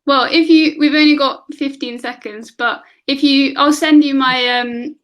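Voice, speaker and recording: A woman's voice: high voice, steady tone, unhurried, fairly bright tone. A woman from England, tutoring over a video call in casual conversation, asking lots of questions and laughing often. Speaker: online English tutor.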